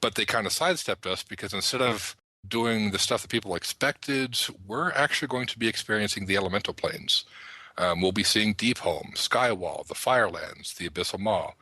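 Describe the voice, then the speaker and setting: A man's voice: lowish voice, expressive, moderate pace, pushed and bright, no room sound, low-quality recording. A man talking casually and explaining things articulately, as a panelist on a gaming podcast.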